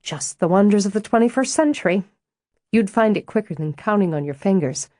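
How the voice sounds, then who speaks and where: A woman voicing a brisk, buttoned-up office manager who talks in crisp, dry, clipped lines. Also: mid-range voice, lively intonation, warm tone, no echo, natural voice.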